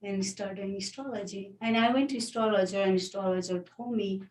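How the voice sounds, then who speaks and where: Woman, Nepali accent, guest astrologer, soft-spoken, distant, echoey video call. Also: medium pitch, steady tone, brisk. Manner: soft-spoken, simple phrasing, small laughs. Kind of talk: casual interview chat. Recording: roomy echo, distant from the mic.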